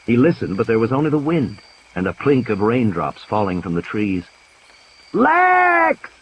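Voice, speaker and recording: A man narrating an audio play in a dramatic, suspenseful and deliberate storytelling style. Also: fairly low pitch, a little mellow, slight background noise, poor audio. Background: cricket-like night ambience sound effects.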